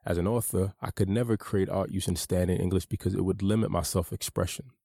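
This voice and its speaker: Low, gravelly man reading his memoir. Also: fairly even intonation, dark tone, dry recording, clean studio sound. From United States. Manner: measured, earnest, personal. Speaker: author narrating his own audiobook. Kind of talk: reflective memoir narration.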